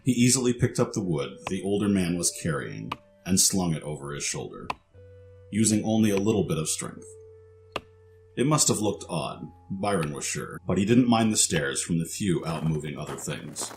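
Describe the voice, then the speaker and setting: A man's voice: low-pitched, expressive, normal speed, darkish tone, soft background music. A man narrating a scripted story for an audio drama.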